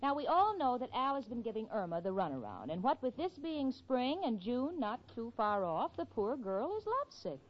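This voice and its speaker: An actress playing a level-headed, protective young woman in a 1940s radio sitcom, earnest, brisk and wry. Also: on the high side, big pitch swings. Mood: earnest, exasperated. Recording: dull vintage 1940s radio recording.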